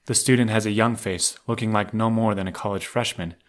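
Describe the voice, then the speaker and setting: A man's voice: fairly low pitch, steady tone, a little fast. A man reading scripted action narration for an audio drama. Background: music.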